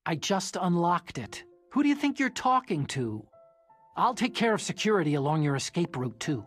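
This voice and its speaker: An actor playing an earnest, excitable young American scientist ally in a video game. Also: male voice, high-pitched, normal speed, breathy edge, faint music behind, heavily acted. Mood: helpful. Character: nerdy earnest young male scientist ally, newly resolute. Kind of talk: dramatic video game radio-call acting.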